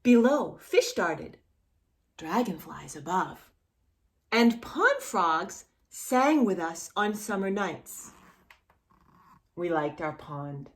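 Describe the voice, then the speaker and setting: Very animated, slow-paced woman storyteller for kids. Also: fairly low pitch. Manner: animated, addresses the young listener with questions. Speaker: children's storytime host reading a picture book aloud. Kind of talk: read-aloud storytime for young children.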